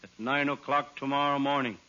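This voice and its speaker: An actor playing a wisecracking hard-boiled private eye, delivering comic lines deadpan in 1940s radio style. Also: male voice, mid-range voice, fairly quick, natural voice. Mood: wry. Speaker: comic detective narrator. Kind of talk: 1940s radio comedy acting. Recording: dull old radio transcription with hiss.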